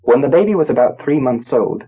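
A man narrating a literary story from a script, in a measured, grave, dramatic storytelling manner. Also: mid-range voice, very animated, dark tone, slightly rough, low-quality recording. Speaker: audiobook narrator.

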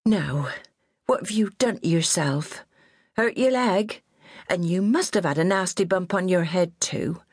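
A woman's voice: lowish voice, unhurried, breathy edge, no echo, clean studio sound, pitched above the performer's own voice. A woman voicing a bossy, stubborn old countrywoman with a who scolds bluntly. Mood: scolding.

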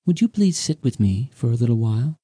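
A man narrator voicing a mysterious, whimsical ancient sea woman who seems young, speaking softly and precisely. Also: mid-range voice, big pitch swings, fairly quick, slightly rough, no room sound, studio quality. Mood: wistful. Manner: soft, precise, lilting.

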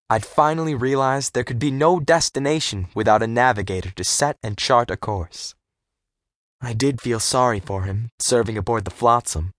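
A man with a medium-pitched voice tells a first-person adventure story. He reads expressively and articulates clearly, in a very dry studio sound suited to a young readers' audiobook. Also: fairly bright tone, no room sound. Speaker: audiobook narrator.

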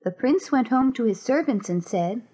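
A woman's voice: fairly high pitch, expressive, moderate pace, mellow, breathy edge. A woman narrating a dramatized fairy-tale audiobook in a scripted storytelling style.

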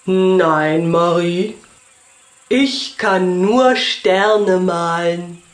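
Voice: medium pitch, very slow, echoey room, voice pushed up. A narrator voicing a modest, regretful male little creature in a children's storybook. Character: male star-painter friend of a ladybug, modest, regretful.

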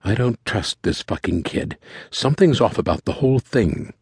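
A man's voice: on the low side, expressive, normal speed, no room sound, clean studio sound. A man voicing a blunt, suspicious, hard-edged traveller in a thriller story, with a snarling, distrustful manner.

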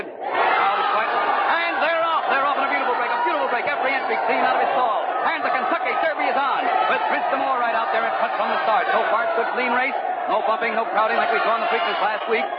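High-pitched, excited vintage race announcer. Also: male voice. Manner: urgent, breathless repetition of phrases. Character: excited male horse race radio announcer at trackside. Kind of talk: 1930s radio race commentary. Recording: dull old radio, loud crowd noise.

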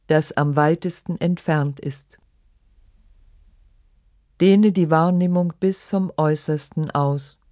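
Slow, dry-recorded meditation guide of unclear sex. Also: medium pitch, darkish tone, dry recording. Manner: measured, instructive, with short separate phrases. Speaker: yoga nidra meditation guide. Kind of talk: scripted guided relaxation narration.

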